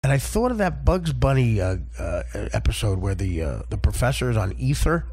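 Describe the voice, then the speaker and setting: A man who works as a stand-up comedian, telling crude, rambling stories in a casual, profane, animated way. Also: mid-range voice, brisk, slightly rough.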